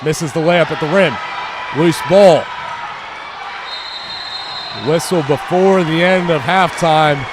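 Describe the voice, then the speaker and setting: A young American man giving live basketball play-by-play in short, clipped calls that rise to excited shouts. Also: fairly high pitch, slow pace, pauses often. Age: young adult. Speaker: play-by-play commentator. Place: indoor high school gym during a game. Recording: noisy gym with loud crowd. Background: crowd noise, bouncing basketball.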